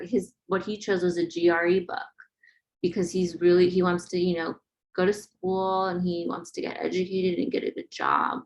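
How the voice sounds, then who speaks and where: Casual woman presenter with a creaky voice. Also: on the low side, poor audio. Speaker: photographer presenting a project. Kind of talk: informal webinar presentation. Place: online webinar.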